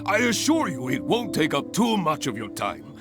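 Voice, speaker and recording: An actor playing a theatrical, enthusiastic adventurers guild master in a video game, with a grandiose and effusive delivery. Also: male voice, on the high side, very animated, normal speed, music in the background. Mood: dismayed, coaxing. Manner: grandiose, effusive, pleading persuasively. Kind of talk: video game character acting.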